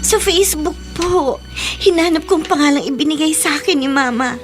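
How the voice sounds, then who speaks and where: A woman's voice: high voice, fairly even intonation, normal speed, close to an everyday voice. A woman playing an earnest, distressed young Filipina daughter in a radio drama. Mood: explaining. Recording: clear music bed behind voice.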